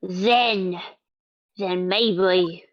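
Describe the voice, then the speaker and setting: A woman voicing a sweet, earnest girl assistant with a gentle, hesitant manner in a live game fan dub. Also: on the low side, very slow, lo-fi sound. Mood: curious. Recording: music behind the voice.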